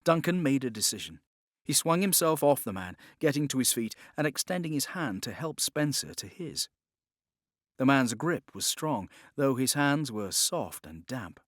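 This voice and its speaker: A man narrating scripted fiction as an audiobook reader, with measured, dramatic, expressive storytelling. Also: medium pitch, dry recording, clean studio sound.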